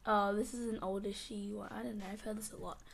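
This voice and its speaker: A woman's voice: mid-range voice, steady tone, fast, vocal fry, a little hiss or hum. A young woman co-hosting a casual podcast, reading out jokes and puns between giggles. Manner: giggly, reads puns aloud. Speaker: podcast co-host.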